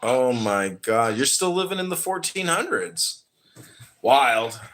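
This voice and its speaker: A man's voice: mid-range voice, lively intonation, slow pace, a bit bright. An American man hosting a podcast, asking provocative, mock-naive questions with sarcasm and swearing. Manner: feigns ignorance, sarcastic, swears. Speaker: podcast host.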